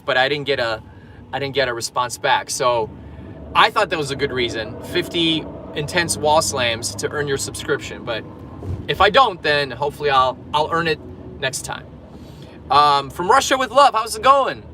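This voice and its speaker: A man's voice: fairly high pitch, expressive, moderate pace, background noise. A man from Poland, a fitness coach, chatting casually on a livestream while driving and reading viewer comments aloud. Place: in a car in traffic.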